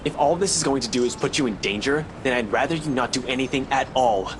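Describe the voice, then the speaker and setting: A man's voice: medium pitch, animated, fast, background noise, close to an everyday voice. A man playing a guarded, weary and protective friend in a video game drama, with a serious, terse manner. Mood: frustrated, protective.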